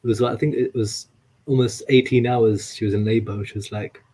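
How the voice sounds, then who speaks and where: A man's voice: medium pitch, steady tone, a little fast, warm tone, a little hiss or hum. A man from India chatting casually on a podcast, laid-back and hesitant, often repeating words. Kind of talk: casual chat.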